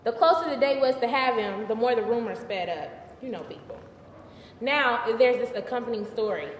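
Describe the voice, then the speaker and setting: A woman's voice: a little high, expressive, normal speed, close to an everyday voice. A young woman performing a live theatrical monologue as an indignant, wry biblical queen, conversational and emphatic. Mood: mournful, bitter. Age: early 20s. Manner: conversational, sassy, emphatic, exasperated. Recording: distant mic, echoey hall, faint noise.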